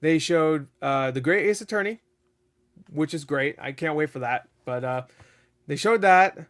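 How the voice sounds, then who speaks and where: Excitable man rambling a casual review. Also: fairly high pitch, measured pace. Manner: excitable, rambling, laughs often, swears. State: voice getting raspy. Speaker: gaming video host.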